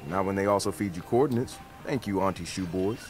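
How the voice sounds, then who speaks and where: A man's voice: deep voice, brisk, music in the background, close to an everyday voice. An actor playing a young male hacker in a video game, dry and matter-of-fact.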